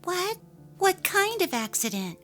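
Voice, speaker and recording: Woman as a young wife, apologetic, slow-paced, swinging intonation, dramatic story reading. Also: very high voice, sing-song, a bit bright, heavily acted. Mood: alarmed. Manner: apologetic, placating. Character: Jamie, apologetic, evasive young working wife and mother. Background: faint music.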